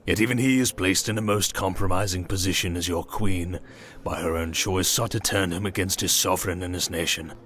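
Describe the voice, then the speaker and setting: Man acting as a pompous old king, very deep and sharp. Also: fairly even intonation. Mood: cold accusation. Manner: pompous, formal, measured and accusing. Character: stern, scheming old foreign king, father-in-law. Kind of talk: dramatic fantasy audio roleplay.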